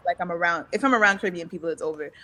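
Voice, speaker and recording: A woman's voice: lowish voice, rapid-fire, sharp tone, a little hiss or hum. A young woman from Montreal with a slight American accent, a guest talking earnestly and encouragingly, laughing easily. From Montreal, Canada. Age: young adult. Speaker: guest, singer and content creator. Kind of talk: casual interview chat.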